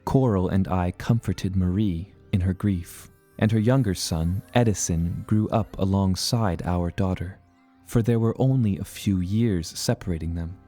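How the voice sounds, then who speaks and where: A man playing an earnest, reflective adventurer who narrates his journal in measured, literary audio drama storytelling. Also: sits low, mellow, soft background music. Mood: tender, wistful. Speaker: narrating character. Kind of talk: scripted first-person audio drama narration.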